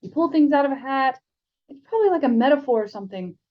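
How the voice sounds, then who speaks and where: A young schoolboy wizard voiced by a woman chatters in a high, breezy voice. He rambles along in an offhand, placid way, as in a children's fantasy storybook, on a slightly dull recording. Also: expressive, quick pace. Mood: puzzling aloud.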